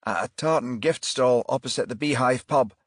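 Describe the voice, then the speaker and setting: A man voicing a brisk, alert female police detective in an audiobook, with clipped, urgent delivery. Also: mid-range voice, dry recording, clean studio sound, voice pushed up, natural voice. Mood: brisk, watchful. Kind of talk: audiobook character dialogue.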